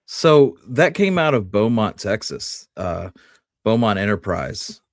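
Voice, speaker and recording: A man's voice: fairly low pitch, very animated, slow, no echo, studio quality. A man co-hosting a history podcast in a casual, conversational style. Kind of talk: casual discussion, reading from articles.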